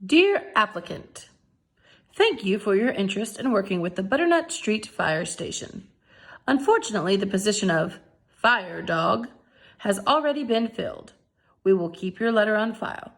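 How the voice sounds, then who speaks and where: A woman's voice: mid-range voice, sing-song, normal speed. A woman voicing a courteous fire station official in a storybook, formal and businesslike. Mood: formal, polite.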